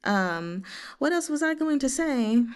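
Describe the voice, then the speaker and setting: A woman's voice: high voice, unhurried. A woman hosting a book read-along, talking casually and expressively and drifting into asides. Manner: expressive, digressive, giggly asides. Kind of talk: casual chatty book discussion.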